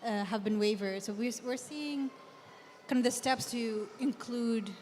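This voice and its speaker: A woman's voice: fairly high pitch, a little slow, a little mellow, a little hiss or hum. A woman on a book fair panel, talking in a hesitant, unsure way. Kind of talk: panel discussion.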